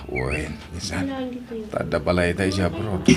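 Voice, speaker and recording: A man's voice: sits low, expressive, normal speed, soft background music. A man acting a sorrowful, lonely widowed father in an Ilocano audio drama, speaking plaintively. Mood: wistful, resigned.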